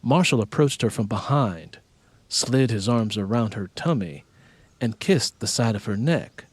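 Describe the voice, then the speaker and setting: A man's voice: lowish voice, expressive, a little slow, husky, dry recording. A man reading scripted fiction as an audiobook narrator.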